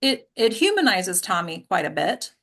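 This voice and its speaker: A woman's voice: medium pitch, very animated, moderate pace, sharp tone. A woman leading an informal group discussion as an acting coach, speaking in an encouraging, emphatic way with frequent check-in questions.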